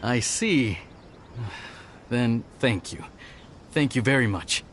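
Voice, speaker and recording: Earnest young retainer, expressive pitch. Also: male voice, takes it slowly, background noise. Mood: worried, subdued. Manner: formal, hesitant, self-reproaching. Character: earnest, dutiful, anxious young male retainer. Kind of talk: video game voice acting.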